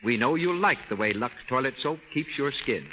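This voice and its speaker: American guy doing old 30s radio ad copy, very animated, bright, slight background noise. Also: mid-range voice, moderate pace. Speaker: radio announcer. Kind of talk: 1930s scripted advertising announcement. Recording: faintly noisy 1930s radio recording.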